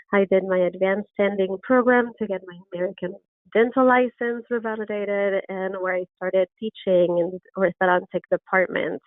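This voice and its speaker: A woman's voice: mid-range voice, no room sound. A middle-aged woman from Argentina with an Argentine Spanish accent, a native Spanish speaker, presenting a webinar as an orthodontist in an earnest, enthusiastic way with frequent ums and restarts. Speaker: orthodontist lecturer. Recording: dry, tinny phone-like webinar audio.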